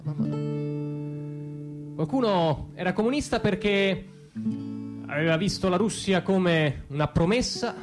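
Male stage actor as defensive everyman dad, higher-pitched and very animated, hesitant theatrical delivery, guitar behind. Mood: declamatory. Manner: hesitant, halting, repeating himself. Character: defensive ordinary middle-class father, evasive about his past. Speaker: stage actor performing a monologue. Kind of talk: theatrical comic monologue, as if answering questions.

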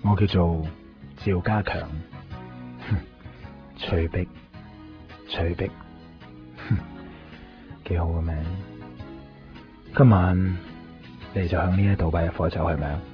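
A man's voice: low-pitched, steady tone, slow pace, long pauses. An actor playing a cocky, breezy, mysterious man with a Hong Kong Cantonese accent in a radio drama. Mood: cocky, teasing. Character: cocky, easygoing, mysterious man who finds lost things. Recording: dull 1990s radio with music. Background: music.

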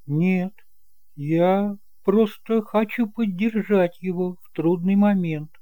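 Male actor as a polite young nephew; soft-toned, steady, soothing, for a homemade detective radio play. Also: sits high, takes it slowly, dry recording. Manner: calm, courteous, reassuring. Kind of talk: amateur audio drama acting.

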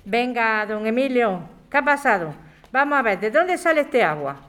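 Una mujer que interpreta a una madre de mediana edad, atenta y preocupada, con tono conversacional en una radionovela. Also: female voice, a little high, animated, normal speed, fairly bright tone. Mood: alarmed. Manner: concerned, conversational. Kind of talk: radio drama acting.

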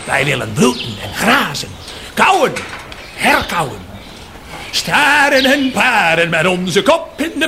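High-pitched, furious rallying cow. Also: male voice, background noise, exaggerated voice. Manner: declamatory, rousing, rhetorical questions and repeated refrains. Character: furious cow rallying the herd against city builders. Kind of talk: theatrical radio play monologue.

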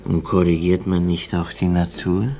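A man's voice: low voice, completely flat delivery, gravelly, mellow. An actor playing a bitter, cynical drunk man, sneering and goading in a German radio drama. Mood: sneering, provocative. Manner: sneering, provocative, drawn-out phrasing. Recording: busy crowd noise behind voice. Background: crowd chatter.

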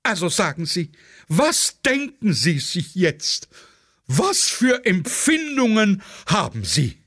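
A man's voice: sits high, wildly swooping pitch, slow pace, fairly bright tone, husky, full character voice. A man voicing a theatrical, affectedly thrilled female war correspondent in a declamatory, gushing manner, as part of a dramatic play reading. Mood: rapturous. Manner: affected, declamatory, breathlessly enthusiastic.

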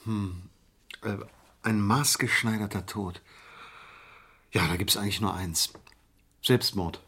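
An actor playing a dry, unflappable, obliging lawyer in a German radio crime play, talking in a measured, deliberate way. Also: male voice, lowish voice, fairly even intonation, bright, forceful tone, slightly rough, full character voice. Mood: pondering.

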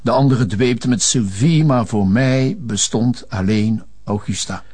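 An actor playing a resigned, lovelorn elderly notary in a crime drama, an older man with a Flemish accent who speaks haltingly and genteelly. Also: mid-range voice, darkish tone, breathy edge. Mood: bitter, sorrowful. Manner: halting, genteel, peppered with French phrases. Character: resigned, lovelorn elderly male notary confessing old secrets. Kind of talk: television crime drama acting.

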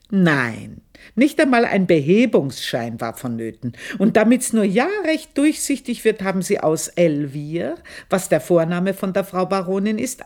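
An Austrian actress playing a proud, jealous village-born maidservant, telling her story in a confiding, earthy radio monologue with drawn-out emphasis. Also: lowish voice, a little mellow, exaggerated voice. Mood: sly, defiant. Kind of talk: dramatic first-person radio monologue, literary storytelling.

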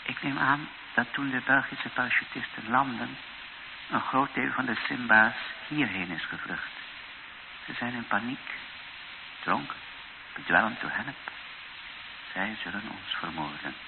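A male actor playing a grave, fatalistic missionary bishop in a radio drama, speaking in a solemn, matter-of-fact, measured way. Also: medium pitch. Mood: grim realism. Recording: tinny old radio recording with clear hiss.

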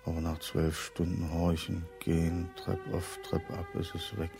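An actor playing a worn-out, anxious night watchman in a radio drama, in a resigned monotone inner monologue. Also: low voice, unhurried, creaky. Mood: weary, anxious. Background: music.

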